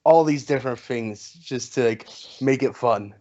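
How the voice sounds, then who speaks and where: A man's voice: medium pitch, moderate pace, mellow, dull audio. A man hosting a casual podcast interview, laid-back, with casual swearing. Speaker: podcast host.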